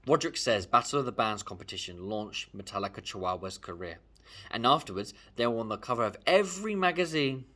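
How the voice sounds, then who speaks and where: Male read-aloud narrator, lively pitch movement, slightly rough voice, emphatic first-person storytelling. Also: a little fast. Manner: animated, emphatic. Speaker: storyteller reading a children's book aloud.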